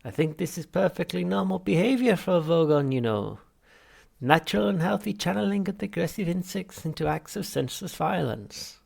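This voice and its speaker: A man's voice: sits high, expressive, brisk, creaky, voice pushed up, full character voice. A man voicing a smug alien psychiatrist for an audiobook, falsely cheerful, patronising and unctuous. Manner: unctuous, patronising, brightly over-articulated. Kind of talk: audiobook character voice.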